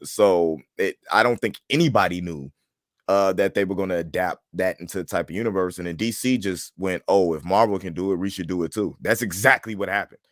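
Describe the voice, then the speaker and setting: A man with a low voice co-hosts a casual fan discussion. He talks in a colloquial, emphatic way, often restarting and repeating phrases. The recording is close and dry, with little room sound. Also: a little fast, no echo. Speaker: co-host of a pop culture show.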